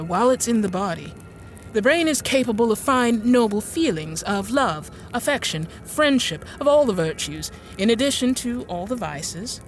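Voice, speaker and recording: Troubled, insistent storyteller, expressive pitch. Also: female voice. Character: troubled woman recounting a dark tale, stern and uneasy. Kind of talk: audio drama monologue and dialogue.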